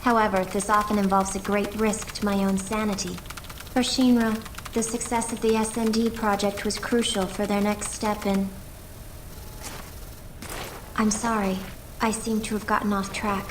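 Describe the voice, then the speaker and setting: A woman's voice: medium pitch, level tone, close to an everyday voice. Woman voicing an earnest young scientist, noisy background. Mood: explaining, serious. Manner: measured, precise, explanatory. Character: earnest young female scientist, reserved and technical. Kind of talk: video game voice acting.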